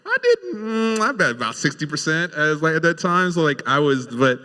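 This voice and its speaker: Male TV writer, casual storyteller, halting and modest, bright forceful tone, long reverb. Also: a little high, big pitch swings. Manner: halting, self-deprecating, chatty. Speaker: television writer on a panel. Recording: echoey hall with long reverb.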